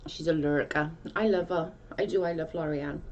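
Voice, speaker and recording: Welsh woman hosting a casual livestream, low-set voice, rambly chat, slightly muffled audio. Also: fairly even intonation, moderate pace, darkish tone. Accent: South Welsh. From Wales. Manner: chatty, rambling, restarts often. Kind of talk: casual chat reading comments.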